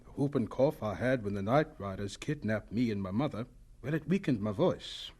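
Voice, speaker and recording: An actor playing a gentle, modest elderly scientist who speaks softly and reflectively in a radio drama. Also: male voice, fairly low pitch, expressive, moderate pace, breathy edge. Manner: soft-spoken, reflective.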